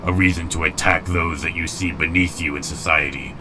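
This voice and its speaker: Grim, commanding robot warlord acted by a man, extremely low voice, deliberate and proud, music underneath. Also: steady tone, natural voice. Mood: cold, menacing. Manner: formal, stern, deliberate phrasing. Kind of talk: dramatic comic dub acting. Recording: music behind the voice.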